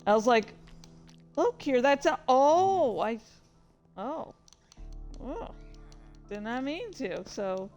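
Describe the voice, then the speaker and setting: A woman talks at an unhurried pace, in a casual and meandering way, as a writer commenting on her own work. She is halting and self-deprecating, and swears freely. Faint music plays behind her. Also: high voice, big pitch swings, a little mellow.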